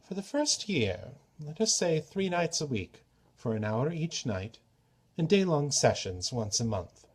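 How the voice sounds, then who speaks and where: Man voicing a theatrical trickster god, pitched up. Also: very animated, husky, full character voice. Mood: businesslike. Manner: formal, measured, persuasive. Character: theatrical trickster god, male, grand and roguish. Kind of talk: storybook character reading.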